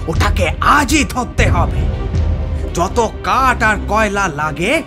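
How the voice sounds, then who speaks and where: An actor playing a determined, commanding ship's captain in an audio drama, giving forceful orders with dramatic delivery. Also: male voice, sits high, expressive, slow, music in the background, exaggerated voice. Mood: urgent, fierce. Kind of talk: Bengali audio drama acting.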